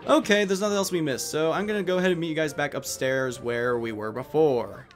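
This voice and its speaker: A man's voice: fairly high pitch, fairly quick. A man hosting a let's play with casual video game commentary, tossing in jokey, self-deprecating asides. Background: video game music.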